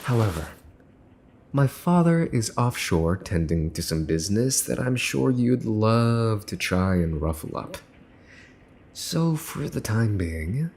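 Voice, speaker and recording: Casting: male actor as arrogant young crime heir for ASMR roleplay, menacing and teasing, very unhurried delivery, some background noise. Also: fairly low pitch, vocal fry, a little mellow, heavily acted. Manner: mocking, theatrical, deliberate, dropping into whispers. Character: smug, menacing, teasing young mafia boss's son. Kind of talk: ASMR roleplay, dramatic monologue to the listener.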